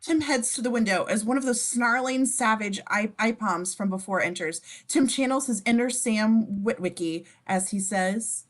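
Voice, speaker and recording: A woman's voice: on the high side, normal speed, few pauses. A woman reading scene descriptions for a table read, informal and given to wry asides. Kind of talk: scripted narration in casual table read.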